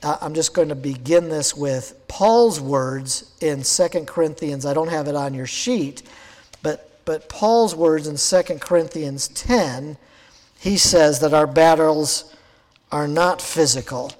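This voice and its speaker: Croaky, high-voiced male pastor preaching. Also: a little mellow, big-room sound. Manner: unhurried, conversational preaching with frequent fillers. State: hoarse, croaky voice. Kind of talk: sermon.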